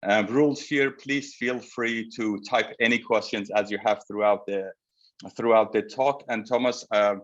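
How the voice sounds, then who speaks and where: Low-voiced man lecturing. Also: fairly even intonation, a bit bright. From Iran. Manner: polite, measured, addresses audience directly. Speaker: presenter, psychotherapy researcher. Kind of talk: webinar lecture. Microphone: video call. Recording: slightly dull video call audio.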